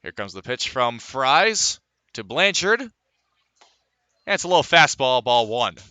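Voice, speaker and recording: A man's voice: medium pitch, sing-song, measured pace, bright, forceful tone, clean tone, dry recording. An American man calling a baseball game as a live radio play-by-play broadcaster. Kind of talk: live radio sports commentary.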